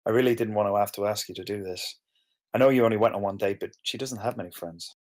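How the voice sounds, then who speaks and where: A man's voice: on the low side, fast talker, a little mellow, close to an everyday voice. A man playing a drained, concerned carer in a plain, conversational screenplay table read. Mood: apologetic, uneasy. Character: Kai, drained, concerned man caring for a grieving friend.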